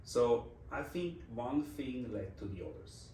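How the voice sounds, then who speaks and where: A man's voice: mid-range voice, animated, unhurried, dark tone, recorded from a distance, slight background noise. A Swiss man in his forties with a Swiss German accent, reflecting in a casual interview as a guest, speaking English as a second language. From Switzerland. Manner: reflective, non-native phrasing with restarts. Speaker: interview guest, design executive. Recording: roomy echo, voice from off-mic.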